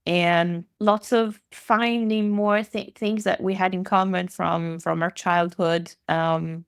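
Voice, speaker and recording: A woman from Italy, a guest on a podcast, answering in a thoughtful, measured way with small hesitations. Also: fairly low pitch, fairly even intonation, vocal fry, clear, resonant tone, no room sound, studio quality. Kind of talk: conversational interview.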